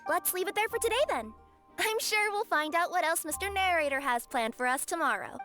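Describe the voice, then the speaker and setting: A woman's voice: very high voice, animated, brisk, bright, forceful tone, soft background music, heavily acted. A woman voicing a young, plucky, sweet adventurer in a video game. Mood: cheerful.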